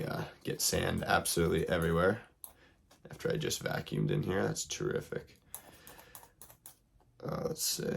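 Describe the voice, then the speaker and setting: Brief: young man, very low voice, hoarse from illness, loose and rambling how-to host. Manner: loose, rambling, lots of fillers. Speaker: RC hobby video host. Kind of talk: casual how-to tutorial.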